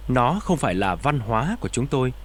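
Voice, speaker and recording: Lively synthetic app voice. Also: medium pitch, fast, slight background noise. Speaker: language app voice. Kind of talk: recorded example sentences and words.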